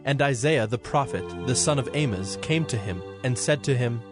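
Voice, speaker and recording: Male narrator for audio scripture drama: medium pitch, formal scripted delivery, prominent background music. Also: expressive, normal speed.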